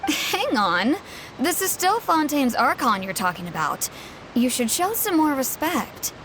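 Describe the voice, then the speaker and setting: A woman playing an earnest, polite young townswoman in a video game, explaining things in a proper, gently reproving manner. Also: sits high, very animated, a little slow, background noise.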